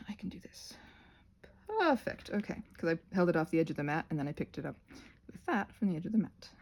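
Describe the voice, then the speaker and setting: A woman's voice: fairly low pitch, gravelly, mellow, husky. A woman hosting an informal craft tutorial, talking in a scattered, playful way and often interrupting herself with asides. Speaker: host of a craft tutorial channel.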